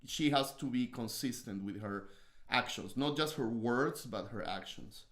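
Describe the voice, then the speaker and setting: A man's voice: medium pitch, measured pace, a bit bright, echoey room. A man from Peru with a strong Peruvian Spanish accent, a guest chatting casually and joking boastfully on a livestream.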